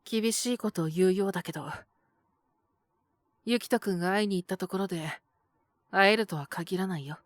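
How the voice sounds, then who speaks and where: A woman voicing a calm, protective male owner who talks in a gentle, measured, softly teasing way in an audio drama. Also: mid-range voice, no echo, natural voice. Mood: stern, testing. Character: calm, protective adult male bar owner mentoring a youth. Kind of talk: Japanese situational audio drama acting.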